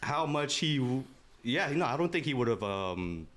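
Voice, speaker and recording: Casual, bantering man with a medium pitch. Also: very animated, moderate pace, a bit bright. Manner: informal, bantering, slangy. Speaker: podcast co-host. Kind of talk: casual podcast chat.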